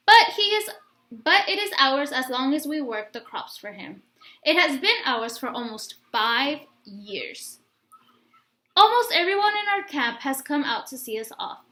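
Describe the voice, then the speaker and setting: A woman reading a picture book aloud in an expressive, instructive way, like a teacher addressing young listeners. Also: high-pitched, a little slow. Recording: roomy, echoey room sound.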